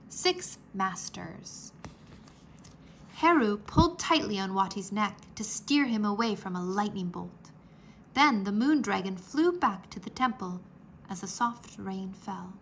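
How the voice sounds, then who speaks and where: A woman narrating a children's storybook aloud in a deliberate, dramatized way. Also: mid-range voice, pushed and bright, slight background noise.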